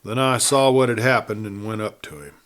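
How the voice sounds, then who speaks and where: A man's voice: on the low side, a little fast, a bit bright, close to an everyday voice. A man voicing a wounded young outlaw, gloomy, hardened and plain-spoken, in a western story. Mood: somber.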